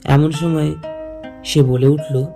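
A man narrating a scripted first-person story in measured phrases. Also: fairly high pitch, steady tone, creaky, over music. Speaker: narrator and lead character.

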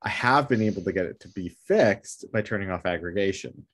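A man with a deep, low voice talks over a video call from home. He thinks aloud, hesitating with fillers as he explains a problem. The delivery is casual and unhurried. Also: lively intonation, a bit bright, husky. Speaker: meetup attendee asking for help.

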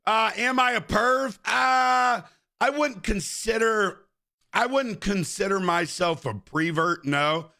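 A man in his thirties streams a game from his chair and trades crude, profane jokes with his chat. His voice rings bright and forward, pitched a touch high, and is picked up on a desk mic. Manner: crude, joking banter with chat, frequent swearing. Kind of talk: casual live-stream chat while gaming. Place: indoor room at a gaming chair.

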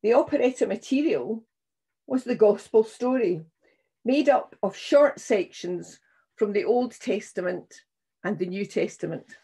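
An older woman minister reading scripture and preaching in a solemn, measured, deliberate way. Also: mid-range voice, expressive, dark tone, breathy edge. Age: 60s or older. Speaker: minister leading a church service. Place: small room at home, indoors.

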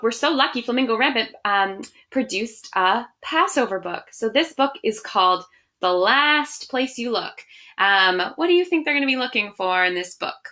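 A woman in her thirties with a slightly high voice speaks to children as in a storytime at home. She addresses her young listeners directly and reads with expression. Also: sharp tone. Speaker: education director reading picture books online to children. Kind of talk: children's storybook read-aloud and friendly chat to viewers. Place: small room at home, indoors.

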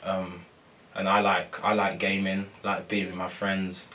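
A young man and footballer, soft-spoken and full of ums and repeats, answering post-match questions in a press room. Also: low voice, monotone, measured pace, distant mic, a little hiss or hum. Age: young adult. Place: press room before a sponsor board.

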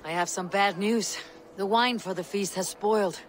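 A woman plays a young Viking warrior woman in a video game. She is stern and determined, with a husky voice of medium pitch, set against noisy outdoor ambience. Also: moderate pace, close to an everyday voice. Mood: serious. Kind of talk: video game dialogue acting.

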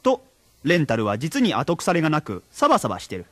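A man's voice: on the high side, huge pitch swings, fairly quick, fairly bright tone, heavily acted. A man acting in a Japanese radio drama as a hapless, rueful salaryman who also narrates his own slide. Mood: wry, resigned. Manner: wry, resigned, theatrical when upset. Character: hapless rueful salaryman sliding into drink and debt.

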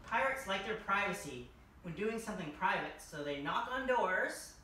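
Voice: mid-range voice, expressive, moderate pace, pushed and bright. A young adult hosting a children's story time, reading a picture book aloud as a costumed king. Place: large furnished room indoors. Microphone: distant camera microphone. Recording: echoey room, distant mic, faint noise.

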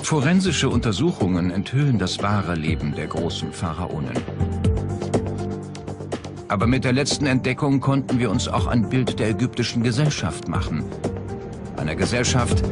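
A man's voice: fairly low pitch, sing-song, normal speed. A man reading a scripted documentary narration. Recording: music bed under the voice. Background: loud background music.

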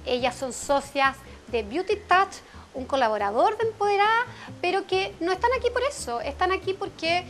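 A woman's voice: extremely high pitch, lively intonation, normal speed, fairly bright tone. A woman hosting a television interview in a studio set, introducing guests and asking leading questions. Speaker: talk show host. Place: TV studio living-room set.

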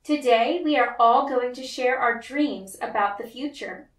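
A woman's voice: on the high side, unhurried, natural voice. A woman voicing an emphatic, doubting female schoolteacher in a children's storybook. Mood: announcing. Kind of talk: children's storybook read-aloud. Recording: roomy, echoey, distant mic.

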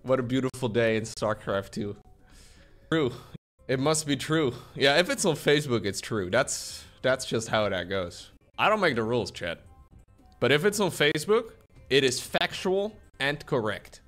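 A young man live-streaming from his room, talking to chat with a bouncy, animated delivery. He jokes around and reacts freely, his pitch sweeping up and down as he goes. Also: normal speed, soft background music. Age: young adult. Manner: lively, joking, reads chat aloud. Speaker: streamer editing a video. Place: small room at home, indoors. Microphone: desk mic, wearing headphones, webcam framing.

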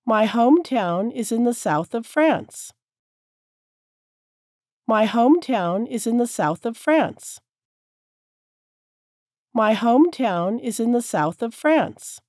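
A woman teacher reading short sentences slowly and clearly, each one said several times for learners to repeat. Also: a little high, lively intonation, a little mellow, no echo, studio quality. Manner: careful, clear enunciation. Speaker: English teacher. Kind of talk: slow repeated drill sentences.

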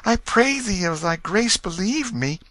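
A man's voice: sits high, expressive, measured pace, sharp tone, dry recording, pitched above the performer's own voice, exaggerated voice. A man voicing a humble, earnest young pauper boy in an audiobook, meek and pleading in archaic speech. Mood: desperate pleading. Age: child figure. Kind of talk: audiobook character voice.

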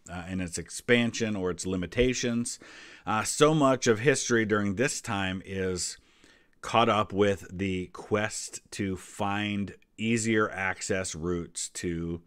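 A man with an American accent and a fairly low voice chats through history trivia reactions. He slips into explanatory asides in a conversational way, recorded very dry indoors. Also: a bit bright, clean tone, dry recording. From United States. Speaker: host of a history reaction channel. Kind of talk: casual reaction commentary.

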